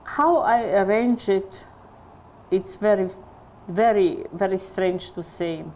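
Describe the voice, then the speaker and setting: Older woman recalling slowly. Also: mid-range voice, dark tone. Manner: halting, searching for English words. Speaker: interviewee. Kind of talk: oral history testimony.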